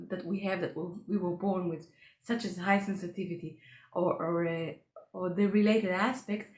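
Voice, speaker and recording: Halting woman with English. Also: mid-range voice, a little mellow. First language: Hebrew. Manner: halting, frequent restarts, earnest. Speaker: coach for highly sensitive people. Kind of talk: solo talk to camera. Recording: roomy echo.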